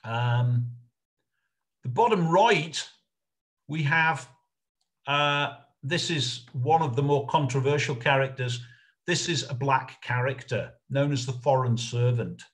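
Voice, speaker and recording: Male lecturer, middle-aged, talking slowly and haltingly through an informal history presentation on a video call. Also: mid-range voice. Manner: halting, hesitant, explanatory. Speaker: lecturer, researcher presenting a talk.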